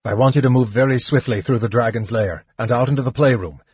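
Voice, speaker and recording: A man acts out a blunt, upright knight of a fantasy audiobook. He speaks briskly with clipped authority, in a slightly dull recording. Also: medium pitch, a little mellow, breathy edge. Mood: brisk, orderly.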